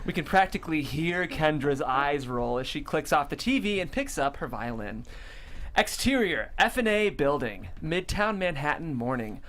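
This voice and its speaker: A man's voice: a little high, big pitch swings, normal speed, a bit bright, slight background noise. A man narrating the stage directions at a comedy script table read.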